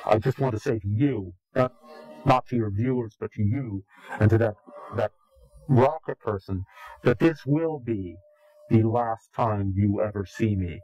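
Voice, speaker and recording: A man giving an interview in a slow, grave, deliberate way, pausing often between phrases. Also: lowish voice, dark tone, poor audio. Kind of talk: ominous recorded interview.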